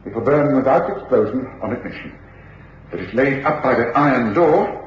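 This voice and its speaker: A man's voice: medium pitch, expressive, normal speed, natural voice. An authoritative English detective played by a man gives clipped, reasoned speech in a mid-range voice. It is old radio drama, roomy and dull, with some hiss. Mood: urgent, commanding. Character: brisk, commanding, coolly reasoning male detective. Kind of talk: 1950s-60s British radio drama acting. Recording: echoey, dull, noisy old radio recording.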